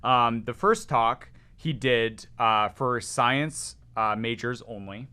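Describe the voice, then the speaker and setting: A man with a loud, resonant voice, talking excitedly as a podcast guest, rambling with many restarts. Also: mid-range voice, takes it slowly, clear, resonant tone. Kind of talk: casual chat.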